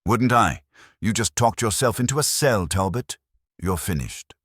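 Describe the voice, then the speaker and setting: A man voicing a blunt, tough male police inspector in a narrated detective story, gruff in manner. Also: fairly low pitch, animated, unhurried, a bit bright, no room sound, clean studio sound, pitched above the performer's own voice. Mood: triumphant.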